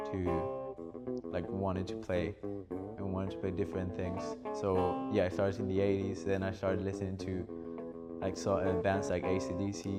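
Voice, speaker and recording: Male interviewee, very low and level, casual, with plucked guitar loud underneath. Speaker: musician interviewee.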